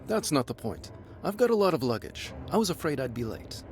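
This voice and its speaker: A man's voice: fairly high pitch, very animated, fairly quick, soft background music. A man playing a fussy, eager police doctor in a period visual novel, explaining himself expressively. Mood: fussy, apologetic.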